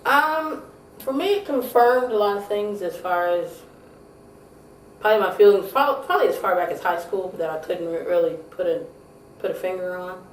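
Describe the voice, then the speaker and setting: A woman in her late thirties answering interview questions, halting and correcting herself as she goes. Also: fairly low pitch, lively intonation. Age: 30s. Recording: roomy, distant mic, faint noise.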